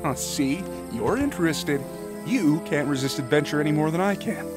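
A man's voice: fairly high pitch, lively intonation, moderate pace, music in the background, natural voice. A man playing a cocky young treasure hunter who teases his companions, in a fan audio drama. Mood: teasing. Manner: teasing, playful.

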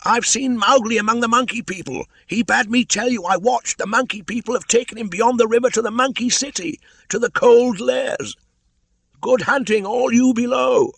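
A man voicing a male kite bird in a storybook reading, calling out in a strained falsetto. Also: moderate pace, gravelly, husky, no room sound, exaggerated voice. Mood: excited calling. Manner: calls out loudly in a strained falsetto. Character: shrill high-flying male kite bird, eager herald. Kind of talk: audiobook character voice.

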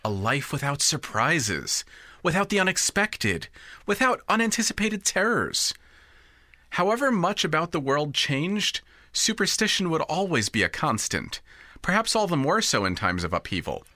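A man's voice: fairly high pitch, big pitch swings, normal speed, pushed and bright, no echo, clean studio sound. A man narrating a scripted audio drama in a dramatic, precise storytelling manner.

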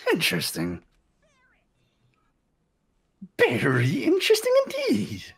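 A performer voicing a gleefully sadistic bear mascot villain in a game, raspy and gravelly, theatrical and taunting. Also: mid-range voice, wildly swooping pitch, unhurried, a bit bright, exaggerated voice. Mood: amused, scheming. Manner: theatrical, taunting, giggling, pun-happy. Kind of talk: character voice acting in a game fan dub.

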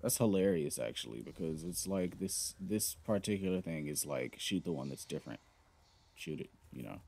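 A man's voice: sits low, expressive, normal speed, creaky, darkish tone, a little hiss or hum. A man hosting a gaming video, chatting casually and rambling with lots of fillers as he plays. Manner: laid-back, rambling, many fillers. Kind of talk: casual gameplay commentary.

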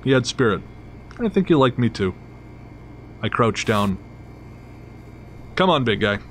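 A man's voice: medium pitch, sing-song, measured pace, fairly bright tone, a little hiss or hum. A man playing a sardonic, bitter son, narrating a first-person horror audio drama in a wry, brooding way. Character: Mike, bitter, sardonic middle-aged son back home. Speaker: narrator and lead actor.

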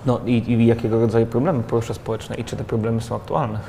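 Quick-talking host guy, 30s, lowish voice, keeps saying mhm, bit of hiss. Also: little pitch movement, mellow. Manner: quick, eager, lots of back-channel "mhm". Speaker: interviewer, host. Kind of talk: conversational interview questions. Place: studio set in a large glass-walled building.

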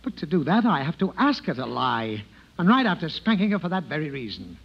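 Flustered dad, animated and highish. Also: male voice, a little fast, creaky, exaggerated voice. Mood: rueful. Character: flustered, exasperated, pleading suburban father. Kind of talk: 1950s radio comedy acting. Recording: dull, faintly noisy old radio recording.

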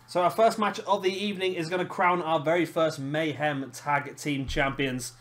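Hoarse man commentating a game. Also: on the high side, steady tone, normal speed, fairly bright tone. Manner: chatty, laughing, swears casually. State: hoarse, lost his voice. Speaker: host of a gaming channel.

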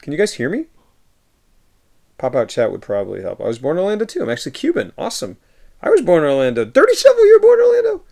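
Thirties man, deeply gravelly, easygoing streamer voice answering chat from his home room on a webcam mic. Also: huge pitch swings, fast. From United States. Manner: reads viewer messages aloud and replies warmly, often laughing. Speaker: livestreamer reading chat. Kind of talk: casual chat. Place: home room with shelves of films.

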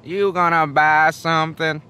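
An actor playing a surly, cagey small-town shopkeeper in an audio drama, terse and deadpan. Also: male voice, a little high, a little slow, slight background noise. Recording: faint outdoor ambience behind.

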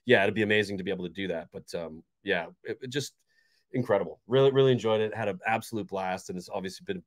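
A man's voice: fairly low pitch, fast talker, dry recording, clean studio sound. A man in his forties, a fan channel host, chatting casually and enthusiastically about a match with lots of restarts. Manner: enthusiastic, rambling with many restarts. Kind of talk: casual post-match chat. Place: bright bedroom indoors.

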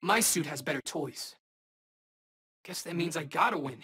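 A man's voice: a little high, lively intonation, moderate pace, pushed and bright, breathy edge, full character voice. A man voicing a cocky, wisecracking eighteen-year-old superhero in a video game, talking in slangy battle quips. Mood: cocky, teasing. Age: young adult.

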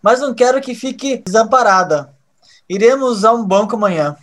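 An actor playing a resentful young male hacker in an audio drama, halting at times. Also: high-pitched, fairly bright tone. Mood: subdued, affectionate. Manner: halting, repeats words when pressed.

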